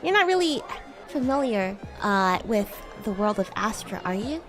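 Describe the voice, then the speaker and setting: A woman roleplaying a playful, bratty demon classmate on a livestream, chatting in a halting, improvised way. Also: medium pitch, sing-song, music in the background. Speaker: streamer and VTuber host.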